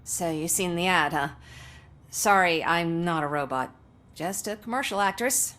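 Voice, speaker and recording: A woman's voice: fairly low pitch, measured pace, a bit bright. A woman playing a breezy, cynical commercial actress in a video game, talking in a wry conversational way. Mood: wry, casual. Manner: wry, casual.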